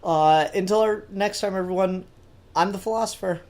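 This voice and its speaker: A man's voice: sits high, moderate pace, darkish tone. A man hosting a casual pop-culture podcast, talking informally with geeky enthusiasm and some mild swearing. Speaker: podcast host.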